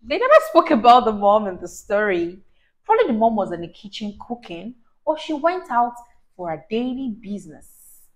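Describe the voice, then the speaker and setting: A young woman reading a story aloud to a child, expressive and teacher-like, emphasising words and asking questions. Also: on the high side, moderate pace, poor audio. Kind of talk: animated read-aloud to a child. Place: small indoor room, on a sofa. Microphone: clip-on lapel mic.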